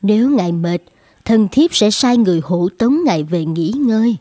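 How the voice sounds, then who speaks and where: A woman's voice: medium pitch, animated, moderate pace, mellow, dry recording, close to an everyday voice. A woman voicing an attentive, dutiful royal consort in a children's cartoon, courtly and respectful. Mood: concerned, deferential. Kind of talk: dubbed children's cartoon acting. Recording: faint music behind.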